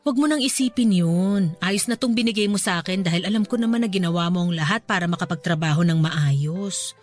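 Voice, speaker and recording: Brief: actress as a soft-spoken loving middle-aged wife, soothing, light music underneath. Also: female voice, on the low side, fairly quick, lightly acted. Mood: reassuring. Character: gentle devoted wife, middle-aged farmer's spouse. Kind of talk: radio drama acting. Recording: music bed behind voice.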